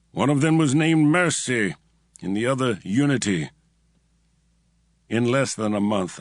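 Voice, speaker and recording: A man's voice: mid-range voice, slightly rough, no room sound, clean studio sound, exaggerated voice. An actor playing an impassioned prophet who narrates his visions in a dramatic, emphatic scripture audio drama.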